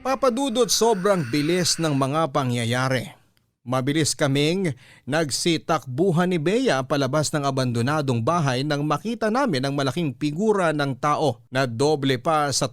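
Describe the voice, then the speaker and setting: A man's voice: a little high, lightly acted. Man acting a young vlogger narrating over music. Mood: haunted. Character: shaken young male vlogger recounting his ghost story. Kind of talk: scripted first-person radio drama narration.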